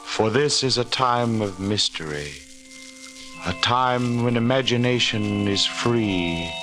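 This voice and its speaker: An ominous host of a vintage radio mystery. His lowish voice moves slowly and solemnly, with dramatic phrasing, while music plays clearly behind him. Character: solemn, eerie host of a haunting mystery show. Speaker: announcer and narrator. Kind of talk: 1940s radio mystery narration. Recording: old radio recording.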